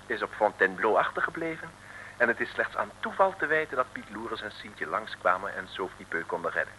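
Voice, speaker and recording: An actor as the wry, theatrical storyteller of an old radio adventure serial. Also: male voice, lowish voice, expressive, fairly quick, bright, forceful tone, a little hiss or hum, low-quality recording. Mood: wry, suspenseful. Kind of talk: theatrical scripted narration, 1950s-60s radio.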